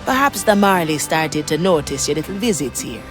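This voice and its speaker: A woman's voice: low voice, expressive, normal speed, over music. An actress playing a wry, daring woman pirate navigator with a cool, confident manner. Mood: sly, confident.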